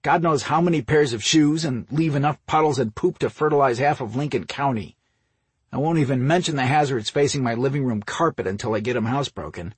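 A man's voice: medium pitch, brisk, husky, no room sound, pitched above the performer's own voice. A man voicing an exasperated, grumbling adult younger brother in an audiobook, speaking emphatically. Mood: arguing.

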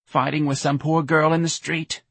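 A man's voice: mid-range voice, expressive, fairly quick, fairly bright tone, slightly rough, pitched above the performer's own voice. A man voicing a plaintive, nagging older working-class mother with folksy, whining speech in an audiobook. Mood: sad, worried.

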